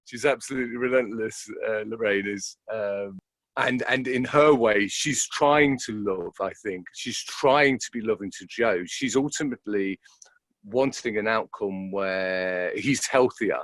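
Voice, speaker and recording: A man's voice: on the low side, unhurried, a bit bright, no echo. A man reflecting in an interview, speaking hesitantly and repeating words as he thinks aloud. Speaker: interviewed actor.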